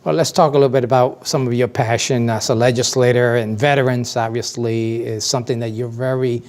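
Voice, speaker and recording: A man in his sixties hosts a studio interview show. His pitch sits in the middle, and his delivery is formal, as a presenter's would be. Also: steady tone, fairly quick, a little mellow. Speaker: talk show host. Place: indoor TV studio.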